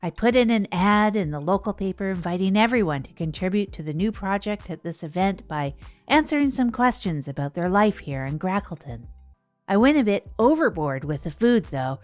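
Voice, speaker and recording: Faint music sits under a medium-pitched voice of a woman acting as a radio show host. The delivery is conversational, digressive and self-deprecating, in the loose style of improvised audio comedy. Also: expressive, normal speed, no room sound, lightly acted. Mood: chatty, wry. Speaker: host and narrator.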